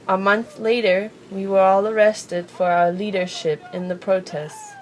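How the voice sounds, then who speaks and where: A woman reading a scripted first-person biographical narration in a measured, simply phrased storytelling way. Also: mid-range voice, a little hiss or hum, poor audio. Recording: music behind the voice. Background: background music.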